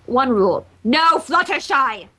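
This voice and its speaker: A woman's voice: high voice, expressive, a little slow, bright, forceful tone, exaggerated voice. A woman voicing a prim, refined adult female cartoon pony dressmaker in a fan fiction reading, speaking formally and properly. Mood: prim, proud. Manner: formal, proper letter dictation.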